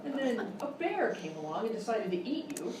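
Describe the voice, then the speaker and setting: An actress playing a sceptical, indignant sidekick in live radio sketch banter, sarcastic and exclamatory. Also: female voice, fairly high pitch, big pitch swings, brisk, darkish tone. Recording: echoey live stage, distant mic, noisy.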